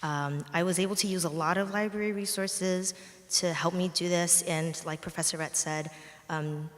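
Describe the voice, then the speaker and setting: Young woman, native Mandarin speaker, lowish voice, chatty, in a reverberant hall. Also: fairly even intonation, normal speed. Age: young adult. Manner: casual and chatty, with fillers. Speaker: undergraduate award recipient. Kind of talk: short acceptance speech. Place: library ceremony hall.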